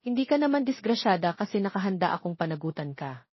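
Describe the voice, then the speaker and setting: A woman's voice: medium pitch, big pitch swings, fast talker, slightly rough, dry recording, muffled recording. A woman voicing an apologetic, earnest young bachelor who pleads and explains, in a romance audio story. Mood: remorseful, pleading.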